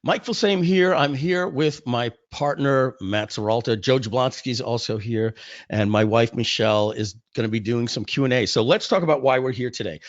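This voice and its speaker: A man with a slightly hoarse voice, chatting informally and directly to his audience as he hosts a live online webinar. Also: mid-range voice, dry recording. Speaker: company founder hosting a webinar. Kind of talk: casual live webinar chat. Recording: muffled, close dry webinar audio.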